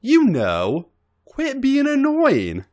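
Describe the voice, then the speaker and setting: A man's voice: very high-pitched, huge pitch swings, extremely slow, a little mellow, pitched above the performer's own voice, full character voice. Man voicing a petulant young male wrestler. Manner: petulant, hedging.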